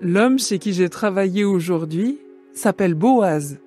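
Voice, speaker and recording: A woman playing a humble, devoted young widow, soft and earnest, in a dramatised scripture reading. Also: medium pitch, lively intonation, a little slow, faint music behind, close to an everyday voice.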